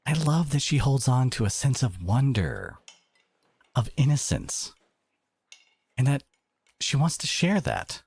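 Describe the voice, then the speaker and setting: Guy acting as protective boyfriend for asmr roleplay, really animated pitch, close dry recording, earnest and a bit sarcastic. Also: unhurried, long pauses, slightly rough, dry recording, full character voice. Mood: proud, tender. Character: devoted, protective boyfriend confronting his partner's family, adult man.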